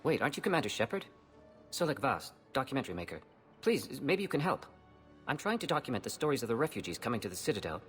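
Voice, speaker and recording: Game documentary maker: persuasive, eager, rapid, lively intonation. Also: male voice, mid-range voice, long reverb tail, faint music behind. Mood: pleading. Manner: persuasive, earnest. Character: eager, pleading male documentary filmmaker. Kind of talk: video game acting.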